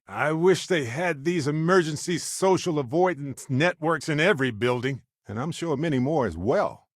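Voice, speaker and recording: Guy doing a chatty wry space explorer for a video game, friendly, dry close sound, pitch moves around a lot. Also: dry recording, lightly acted. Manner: expressive, wry, folksy. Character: affable, witty, talkative adult male space explorer. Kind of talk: scripted video game character dialogue.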